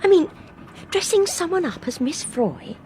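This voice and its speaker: A woman's voice: very high-pitched, very animated, a little slow, darkish tone, heavily acted. An actress playing an anxious but stubborn young Englishwoman on a train, in a British radio drama. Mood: earnest, insistent. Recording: noisy train background ambience.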